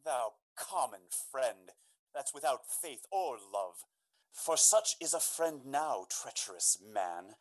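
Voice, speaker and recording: An actor playing a noble, wounded young gentleman in a Shakespeare radio drama, declaiming his verse theatrically. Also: medium pitch, expressive, slow. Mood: outraged.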